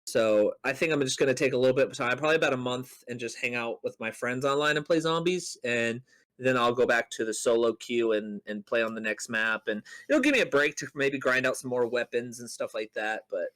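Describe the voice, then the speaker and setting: Bright-voiced man chatting about gaming. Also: medium pitch, steady tone, a little fast. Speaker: podcast co-host. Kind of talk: casual chat on a gaming podcast.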